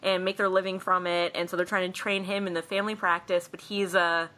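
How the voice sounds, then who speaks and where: Bright-voiced woman recapping casually. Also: fairly low pitch, little pitch movement, a little fast. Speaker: podcast co-host. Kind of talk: casual film plot recap.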